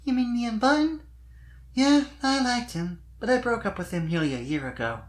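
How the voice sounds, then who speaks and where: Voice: mid-range voice, expressive, normal speed, voice pushed up, close to an everyday voice. A performer voicing an exasperated young female pony pop singer from a storybook, wry and wistful, with dramatic, emphatic, teasing delivery.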